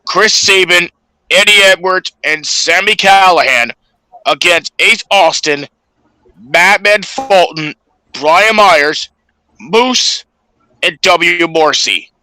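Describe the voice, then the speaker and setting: A man with a sharp, forceful, fairly high voice recaps matches on a podcast. His delivery is slow and halting, and the sound is close and dry. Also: no echo, poor audio. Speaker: podcast co-host. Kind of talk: casual wrestling match recap.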